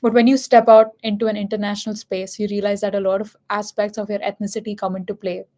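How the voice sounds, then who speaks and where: A woman's voice: medium pitch, flat delivery, fast talker, dark tone. A young woman from India with a light Indian accent, talking in an earnest, candid and reflective casual chat. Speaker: host and MC.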